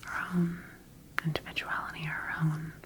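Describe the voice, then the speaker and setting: A woman whispering breathily in an ASMR sci-fi roleplay as a scientist studying her creation, speaking deliberately with pauses. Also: low-pitched, monotone. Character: quietly rogue female scientist studying her engineered creation. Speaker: ASMR performer.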